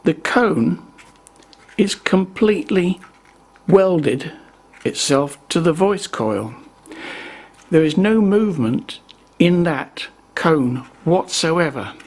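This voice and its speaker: A man giving an unscripted, methodical hands-on explanation in a measured way, as the presenter of a repair video. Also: on the high side, lively intonation.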